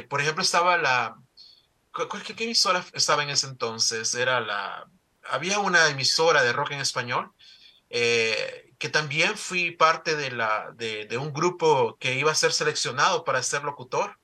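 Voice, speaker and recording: A man's voice: on the high side, sharp tone. A middle-aged man from El Salvador with a Salvadoran accent, telling his story in an interview with many fillers and restarts. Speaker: newspaper founder and CEO.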